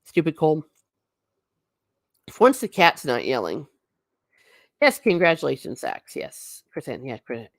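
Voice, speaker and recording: A woman with a notably low voice hosts a relaxed livestream, talking straight to her viewers and answering their comments. She is chatty and blunt, with lots of asides. The sound is dry and close, with no room echo. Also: unhurried, vocal fry, pauses often. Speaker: livestream host. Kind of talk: casual livestream chat, reading viewer comments.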